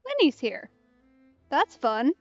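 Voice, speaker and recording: Slightly high-voiced woman streamer, dull audio. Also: wildly swooping pitch, slow, soft background music. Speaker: streamer playing a story game. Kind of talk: casual let's play commentary.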